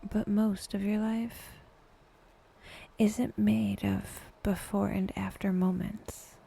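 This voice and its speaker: Slow, near-whispering woman guiding meditation. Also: medium pitch, level tone. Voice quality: soft, breathy, often near-whispered. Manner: unhurried, with long pauses between short phrases. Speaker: meditation guide, podcast host. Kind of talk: guided meditation, soft slow scripted narration.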